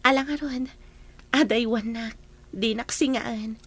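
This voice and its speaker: An actress plays a young bride-to-be in an audio drama, pitched high. She comes across as modest and devoted, with a firm streak. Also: measured pace, slightly rough. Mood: gentle, firm.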